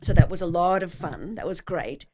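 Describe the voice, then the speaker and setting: Low-voiced middle-aged Australian woman. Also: lively intonation, moderate pace, warm tone. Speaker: podcast guest. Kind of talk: casual storytelling in an interview.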